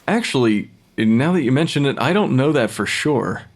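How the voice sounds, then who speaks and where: An actor playing a dry, matter-of-fact forensic pathologist who questions the dead, in a naturalistic audio drama. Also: male voice, medium pitch, animated, normal speed. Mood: uneasy, reassuring.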